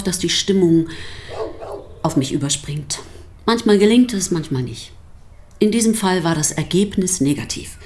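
Deep-voiced, dryly ironic pastor's wife. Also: female voice, a little mellow, a little hiss or hum. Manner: dry, measured, delicately euphemistic. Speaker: actor in a radio play. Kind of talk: German radio drama acting.

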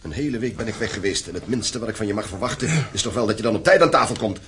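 A man's voice: fairly low pitch, lively intonation, racing, a bit bright, a little hiss or hum. An actor playing a stern, domineering landowning father in a radio play, commanding and formal. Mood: angry, commanding.

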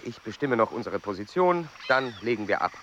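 A man's voice: mid-range voice, big pitch swings, brisk, a little hiss or hum. An actor playing an eager, stiffly earnest male explorer-scientist in a radio drama, speaking formally. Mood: brisk, formal. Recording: dull sound over loud outdoor ambience.

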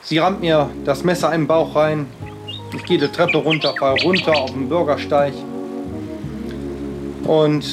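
Halting, higher-voiced man in rambling monologue. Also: steady tone, loud music behind the voice. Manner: halting, repetitive, circling back on phrases. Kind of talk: rambling personal monologue in a radio feature.

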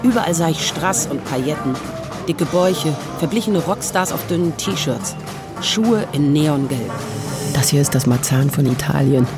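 A woman's voice: deep voice, moderate pace, lightly acted. Actress as wry melancholy woman in her forties, dry delivery, audio drama, music behind her. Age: middle-aged. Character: melancholy, wry woman writer around forty. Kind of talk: literary first-person narration and acted dialogue in an audio drama.

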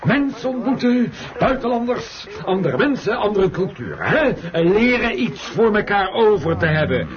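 A flustered, blustering middle-aged father in a radio play. His fairly high voice swings widely as he stammers and repeats himself. The old recording is muffled, with busy crowd sound behind. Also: big pitch swings, normal speed. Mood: placating. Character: excitable middle-aged father on a camping trip, blustering, protesting. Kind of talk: Dutch radio play acting.

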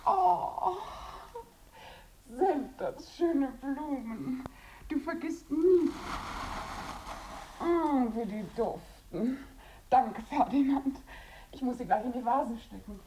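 An actress playing an effusive, house-proud wife who welcomes a guest, gushing and proudly chatty in a radio play. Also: female voice, sits high, slow, pauses often, warm tone, slight background noise, low-quality recording. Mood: delighted.

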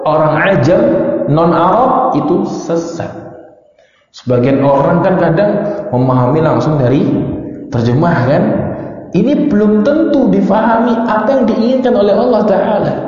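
An Indonesian man teaching an Islamic study class in a didactic way, pausing between short phrases, posing rhetorical questions and mixing in Arabic quotations. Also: high-pitched, darkish tone. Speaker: Islamic teacher giving a religious study lecture. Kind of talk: religious lecture explaining a text, with Arabic quotations. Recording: very echoey hall, dull muffled sound.

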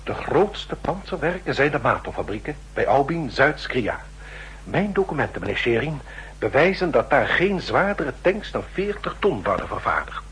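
An ailing, desperate informer in a vintage radio thriller. His voice is forceful and edgy, rising and falling restlessly as he insists or falters. The old recording carries light noise and hum. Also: mid-range voice, sing-song, low-quality recording. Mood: urgent, pleading. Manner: insistent, tense, halting under pressure. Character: desperate, ailing enemy secret agent selling information. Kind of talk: 1960s Dutch radio drama acting.

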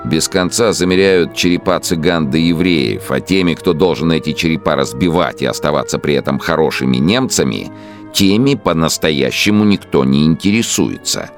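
A man's voice: lively intonation, moderate pace, soft background music. An actor in a radio play playing a fanatical, megalomaniac male dictator, brooding and declaiming in a grandiose, emphatic monologue. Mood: cold, scornful. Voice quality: very deep, gravelly.

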